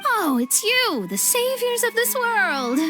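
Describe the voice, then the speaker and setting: Mona, high-voiced theatrical young astrologer. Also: female voice, very animated, takes it slowly, over music, full character voice. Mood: disbelieving. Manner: theatrical, haughty, wry. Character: proud, dramatic young woman astrologer, easily exasperated. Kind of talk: video game cutscene acting.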